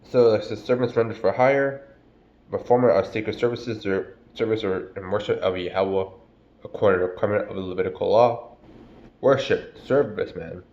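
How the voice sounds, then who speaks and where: A man's voice: lowish voice, normal speed, darkish tone, reverberant, highs cut off. A man with a stuffy cold giving a casual, slangy, profane sermon, calling listeners "bro" and "man". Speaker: preacher. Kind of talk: casual street-style sermon.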